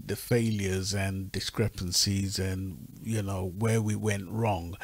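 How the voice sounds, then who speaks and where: Low husky male radio host. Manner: affirming, short backchannels. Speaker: talk show host. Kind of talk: radio talk show discussion.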